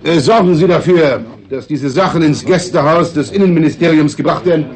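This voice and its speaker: A man's voice: on the high side, fairly quick, darkish tone, natural voice. A man playing a pompous, anxiously polite government official with formal, hesitant phrasing, in a 1960s radio drama. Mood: placating.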